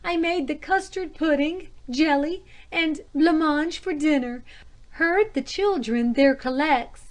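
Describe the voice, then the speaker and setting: A woman's voice: high-pitched, pitched above the performer's own voice. A woman voicing a nervous, dramatic wife and mother in a storybook ghost tale.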